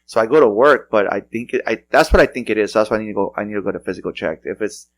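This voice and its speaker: A man's voice: lowish voice, animated, very fast. A middle-aged man hosting a podcast, chatting loosely and rambling through stories with many restarts. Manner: loose rambling storytelling with frequent restarts and laughs. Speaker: podcast host. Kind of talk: casual chat.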